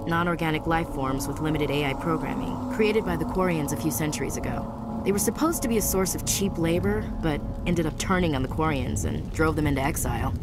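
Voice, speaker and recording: A woman's voice: deep voice, lightly acted. An actress playing a young female marine, an earnest and plainspoken soldier, in a video game cutscene. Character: young female human marine, shaken but resolute survivor. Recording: music behind, game soundscape.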